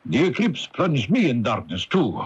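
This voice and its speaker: An actor playing an insinuating, ominous co-conspirator in an early 1980s radio mystery, speaking in a measured way. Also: male voice, medium pitch, wildly swooping pitch, husky, full character voice. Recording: muffled lo-fi 1981 AM radio recording.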